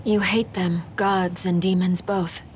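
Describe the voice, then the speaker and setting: A blunt young woman in a video game, her voice on the low side. She is direct and challenging, with a clear rumbling noise behind her. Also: little pitch movement, slow. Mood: accusing. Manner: direct, accusing.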